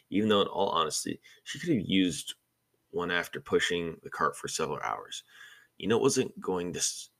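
A man's voice: rumbling low, pushed and bright, husky. A man narrating a story in an amateur way, sometimes stumbling and correcting himself. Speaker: narrator of a fan fiction podcast.

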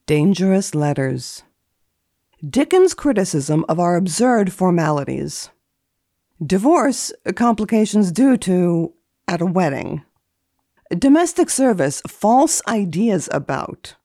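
Female guest columnist, low-pitched and mellow, deadpan and theatrical, close dry sound. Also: takes it slowly, no room sound. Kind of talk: dramatic reading of a book index and deadpan commentary.

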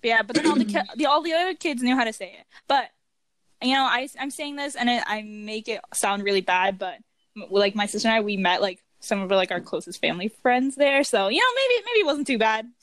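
A young woman talking in a casual chat, recorded close in a dry room. She tells stories in a loose, rambling way, full of fillers. Her voice sits fairly high. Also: expressive, brisk, a bit bright, no room sound. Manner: chatty, filler-heavy storytelling.

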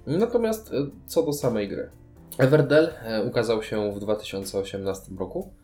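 A man's voice: lowish voice, expressive, normal speed, mellow, faint music behind. A man talking casually about board games as a podcast co-host. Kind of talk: casual board game discussion.

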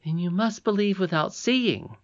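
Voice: medium pitch, lively intonation, moderate pace, lo-fi sound, pitched above the performer's own voice, natural voice. A reader voicing a confident, insistent young princess with an earnest manner in a storybook. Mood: eager, triumphant.

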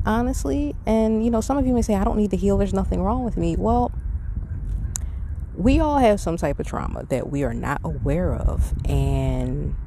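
A woman's voice: lowish voice, warm tone, a little hiss or hum. A middle-aged woman hosting a podcast, talking in a casual, reflective monologue with an earnest, conversational and emphatic manner. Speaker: podcast host.

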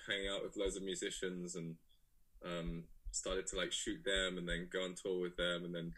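A young man with a fairly low, husky voice that still has a bright, forward edge. He talks loosely and casually, full of fillers and restarts, as an interview guest telling his story. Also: low-quality recording. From England, raised in South Africa. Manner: relaxed, rambling, many fillers and restarts. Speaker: guest, photographer and director.

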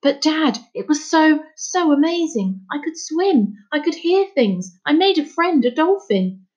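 Excitable storybook boy, played by a woman: pitched up, earnest, pleading, insistent, slightly dull recording. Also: a little slow. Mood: desperate, pleading.

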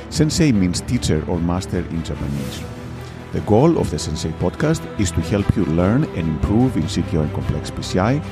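A man hosting a podcast, friendly and asking questions with hesitant restarts. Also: sits low. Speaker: podcast host. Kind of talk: interview hosting.

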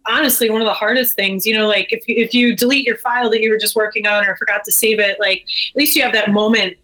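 A woman's voice: a little high, steady tone, fast talker, sharp tone, poor audio. An American woman speaking candidly and informally as an interview guest, emphatic, with frequent filler phrases like "you know". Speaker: interview guest, brewery owner. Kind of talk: casual, candid conversation.

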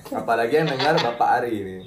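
Young man, playful, lively pitch, echoey room. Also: rapid-fire. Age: young adult. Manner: playful, joking, repeats words. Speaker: podcast co-host. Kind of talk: casual group chat. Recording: roomy echo, off-mic, faint hiss.

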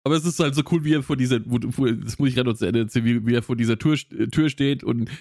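A man's voice: mid-range voice, rapid-fire, darkish tone, no echo, studio quality. A man co-hosting a podcast, casually retelling a film plot with many restarts. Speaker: podcast co-host.

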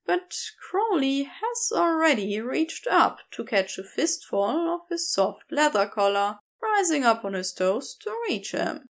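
Woman, fiction narrator, high pitch, animated melody, slow pace, expressive, deliberate scripted storytelling. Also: gravelly. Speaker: podfic narrator.

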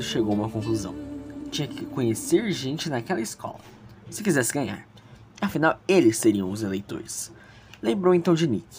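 A very low-voiced woman with a Brazilian accent narrates a story in a chatty, dramatic style. Her pitch moves around in a lively way, and music clearly plays behind her. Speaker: narrator and character reader in an audio story.

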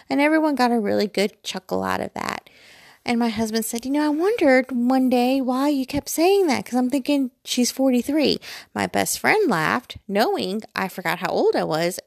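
Chatty American woman, creaky voice. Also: on the high side, dry recording, clean studio sound. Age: 40s. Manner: chatty, self-deprecating, digressive. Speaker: vlogger. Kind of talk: casual rambling personal chat. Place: bedroom at home, lying in bed.

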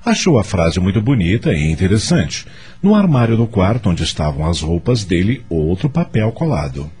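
Husky, expressive Brazilian male narrator. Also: low-pitched, normal speed. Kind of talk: scripted radio drama narration. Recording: music under the voice.

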